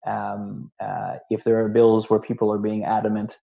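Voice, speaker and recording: A man with a fairly low, gravelly voice gives advice on a muffled, lo-fi conference call. He is plain-spoken and hesitates often as he talks. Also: completely flat delivery, normal speed. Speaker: expert panelist giving advice. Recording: dull lo-fi conference call audio.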